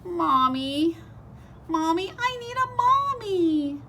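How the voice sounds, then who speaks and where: A woman's voice: very high voice, sing-song, slow, bright, forceful tone, a little hiss or hum, pitched above the performer's own voice, heavily acted. A woman reading a storybook, voicing a lonely little bird child who pleads earnestly for a mother. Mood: tearful.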